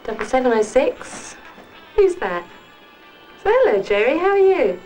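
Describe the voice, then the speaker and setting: A woman's voice: high-pitched, very animated, a little slow, darkish tone, faint music behind, rough recording. A woman playing a chatty young British mother on the telephone in an acted 1980s safety film scene. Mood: chatty, bright.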